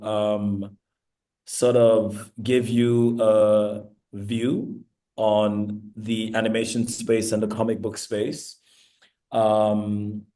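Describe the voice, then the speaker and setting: Low, flat-voiced Nigerian man lecturing. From eastern Nigeria. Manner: relaxed, halting, many ums. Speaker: guest speaker. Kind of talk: virtual lecture presentation. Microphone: video call.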